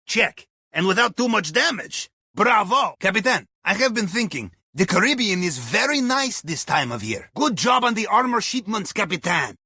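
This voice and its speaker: An actor playing a roguish, cheerful Russian submarine veteran and heist planner in a video game, with a theatrical, wry delivery. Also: male voice, high voice, very animated, normal speed, hardly any pauses, bright, forceful tone, breathy edge, no echo, studio quality, exaggerated voice. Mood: pleased, scheming. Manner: theatrical, wry, addresses listener as captain. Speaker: submarine crewman guiding a heist. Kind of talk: video game character voice lines.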